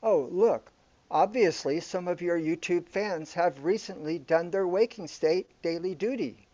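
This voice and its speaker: An actor playing a calm, all-knowing guide in a surreal sci-fi radio drama, explaining things in a formal, matter-of-fact way. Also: male voice, sits high, measured pace, highs cut off.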